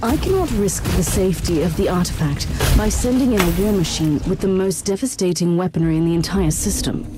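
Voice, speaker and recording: A stern warrior woman of a video game, played by an actress, with a lowish voice. She sounds formal, resolute and guarded, and loud music plays behind her. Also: fairly quick, close to an everyday voice. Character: stern, resolute female warrior inquisitor on a mission.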